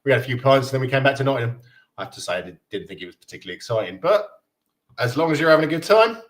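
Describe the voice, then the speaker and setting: A man's voice: mid-range voice, big pitch swings, racing. A man from Denmark hosting a casual football fan livestream, chatting in a rambling, matey way. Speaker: livestream host.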